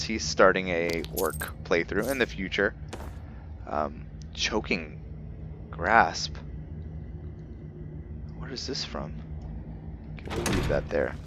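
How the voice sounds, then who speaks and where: A man's voice: low voice, pushed and bright, slight background noise. A man doing casual commentary over a roleplay video game, muttering short reactions and talking to his viewers. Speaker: gaming video host playing and commentating. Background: game music.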